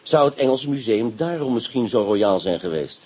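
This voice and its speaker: Radio drama: a determined police inspector, probing and firm, warm mellow tone. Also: male voice, mid-range voice, lively intonation, normal speed, husky. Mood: thoughtful. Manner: sharp, insistent, probing.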